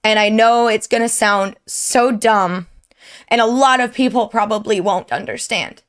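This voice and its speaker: A woman's voice: fairly high pitch, measured pace. A young woman with a sore, strained throat giving an earnest, rambling update to her viewers from her desk at home. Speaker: streamer and YouTuber. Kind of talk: candid personal update to viewers.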